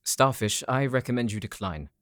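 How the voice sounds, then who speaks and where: A man acts an anxious, exasperated male researcher in an audio drama. His medium-pitched voice moves up and down a lot in a dry recording. He is polite yet pleading. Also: expressive, normal speed, fairly bright tone, no echo, lightly acted. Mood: uneasy.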